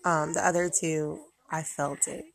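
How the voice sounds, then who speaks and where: A young mother with a naturally raspy voice, talking casually and candidly about motherhood, rambling with fillers and small laughs. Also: low voice, fairly even intonation, measured pace. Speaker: mother vlogging about postpartum. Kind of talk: casual personal talk. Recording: noisy room with kids playing behind.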